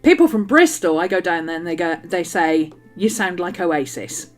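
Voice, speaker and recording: Bubbly English woman, low-toned. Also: animated, moderate pace. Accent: English, mix of West Country and Manchester. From West Country of England. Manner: chatty, bubbly, frequent ums and laughs. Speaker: journaling streamer. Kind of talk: casual chat to live chat while crafting. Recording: faint background music.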